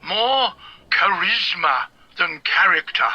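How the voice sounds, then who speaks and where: An actor in a radio drama playing a wary, self-important doctors' leader, measured and dismissive, heard through a telephone filter. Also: male voice, high voice, lively intonation, pushed and bright, dry recording, full character voice. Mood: disdainful. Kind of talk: British radio drama acting. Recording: thin band-limited telephone line, faint music.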